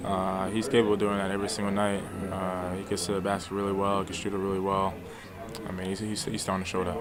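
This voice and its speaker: Casting: man in a post-game interview, lowish voice, very quick, with busy crowd noise. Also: monotone.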